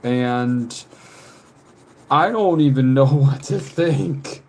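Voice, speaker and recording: A man's voice: mid-range voice, extremely slow, a little mellow, a little hiss or hum. A man in his twenties chatting casually on a live stream, rambling with frequent laughs and reading out viewer messages. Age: 20s. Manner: rambling, laughs often, reads chat messages aloud. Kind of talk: casual chat while drawing on a live stream.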